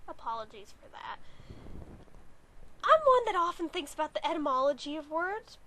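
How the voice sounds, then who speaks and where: Stuffy, hoarse young woman vlogger. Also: high-pitched, big pitch swings, moderate pace, fairly bright tone, rough recording. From California, USA. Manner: chatty, rambling, wry asides. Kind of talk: casual chat to camera. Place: bedroom.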